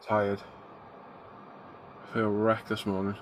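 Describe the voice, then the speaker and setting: A man's voice: fairly low pitch, completely flat delivery, slow. A middle-aged man, a little bunged up from a cold, chatting easily with viewers on his live stream. He greets people by name and talks in an everyday tone. His desk mic picks him up in a home hobby room, with a faint hiss behind him. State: congested, getting a cold. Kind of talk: casual live stream chat.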